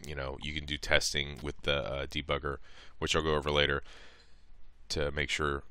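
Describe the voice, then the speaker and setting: A man narrating a casual step-by-step software tutorial in an informal, somewhat hesitant way, thinking aloud as he goes. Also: a bass voice, monotone, normal speed, clean tone. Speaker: tutorial presenter.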